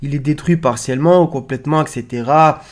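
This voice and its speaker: A man's voice: on the high side, brisk, a little hiss or hum. A man hosting an online video with informal, rambling commentary on on-screen images, explaining insistently and often trailing off. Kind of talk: informal screen-share commentary on film posters.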